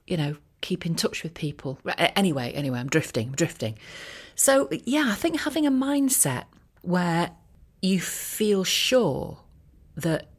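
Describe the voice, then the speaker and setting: A woman talking casually in a rambling, self-deprecating monologue, with wry, gently ironic asides. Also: fairly low pitch, breathy edge. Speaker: voiceover artist and career coach sharing a personal update.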